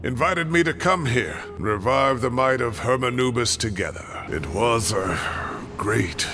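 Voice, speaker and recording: An actor playing a grave, guilt-laden elderly scholar in a video game, with a gravelly voice and halting, weighty storytelling. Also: male voice, medium pitch, lively intonation, background noise, lightly acted. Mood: grave, regretful. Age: older.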